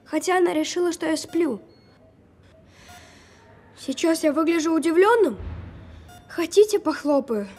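High-voiced sarcastic sick boy. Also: darkish tone, a little hiss or hum. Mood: dry, sceptical. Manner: dry, know-it-all. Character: sick twelve-year-old boy, sceptical, sarcastic, precocious. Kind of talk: audio drama acting.

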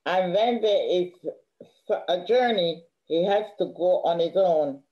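A woman's voice: fairly low pitch, measured pace, mellow, lightly acted. Stern, bookish big sister in a stage play, Caribbean older woman reader; matter-of-fact, slightly muffled recording. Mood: stern, dry. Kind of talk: rehearsed play reading. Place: indoor room at home, on video call. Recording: dull video call audio.

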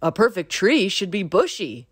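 A woman's voice: mid-range voice, big pitch swings, moderate pace, slightly rough, no room sound, studio quality, pitched above the performer's own voice. A woman voicing a busy, confident male squirrel in a storybook, perky and emphatic. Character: bushy-tailed male squirrel, busy confident advice giver.

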